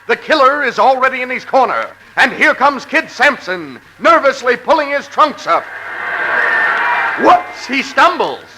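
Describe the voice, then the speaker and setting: Very high-pitched ringside fight announcer. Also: male voice, sing-song, measured pace, full character voice. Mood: announcing. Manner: formal, dramatic play-by-play. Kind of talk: 1940s radio sports commentary parody. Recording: noisy vintage radio recording.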